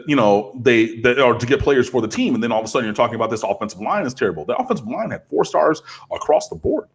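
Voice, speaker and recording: A man with a slightly husky voice talks college football on a casual podcast. He rambles and gets animated, with plenty of fillers as he builds his points. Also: medium pitch. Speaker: co-host, Miami fan. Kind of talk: casual sports podcast chat.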